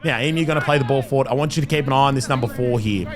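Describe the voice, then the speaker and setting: A man with an Australian accent, hoarse from losing his voice, chatting through a match recap to his viewers in an upbeat voice-over. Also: medium pitch, very fast, crowd noise. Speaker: club vlogger and coach.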